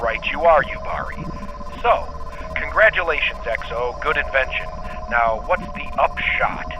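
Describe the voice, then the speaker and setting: A man's voice: medium pitch, animated, unhurried, over music. A man playing a blunt, impatient starship captain over an intercom in a sci-fi audio drama, curt and sarcastic. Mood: sardonic, impatient. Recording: muffled comm channel, noisy ambience.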